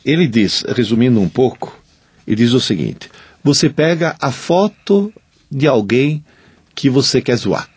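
A man's voice: medium pitch, sing-song, a little slow, a little mellow, husky, no room sound. A man co-hosting a tech chat show, reading listener mail in a chatty, joking way, with an Italian-tinged São Paulo interior accent. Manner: chatty, jokey, reads listener emails aloud. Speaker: podcast co-host.

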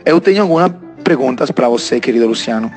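A man's voice: mid-range voice, animated, fast talker, darkish tone, faint music behind. A man in his twenties from Italy, an Italian speaker talking in Portuguese with an Italian accent, sending a listener message with hesitant phrasing and frequent fillers. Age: 20s.

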